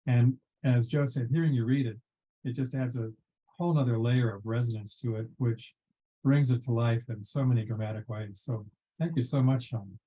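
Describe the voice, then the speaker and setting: A man in an audience giving appreciative feedback in a measured, earnest manner. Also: medium pitch, mellow, husky, poor audio. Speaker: audience member.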